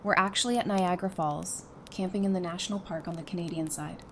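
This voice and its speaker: A woman playing a sassy, sarcastic, quick-witted wife with dry, teasing delivery in an audio drama. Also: on the low side, steady tone, close to an everyday voice. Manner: dry, teasing, matter-of-fact. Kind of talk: fan audio drama acting. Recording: clearly noisy background.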